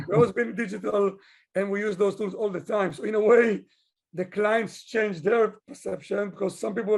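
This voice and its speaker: Husky, high-pitched man from Israel. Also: darkish tone. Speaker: business owner, digital consultant being interviewed. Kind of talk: interview answers, conversational.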